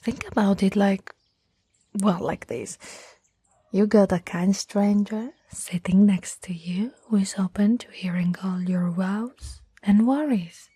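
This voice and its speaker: A woman playing a tall, teasing, caring young childhood friend in an ASMR roleplay, with a soft, breathy, slightly husky voice and a gently coaxing manner. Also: mid-range voice, fairly even intonation, no echo. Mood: coaxing, concerned. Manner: slow, playful, gently coaxing.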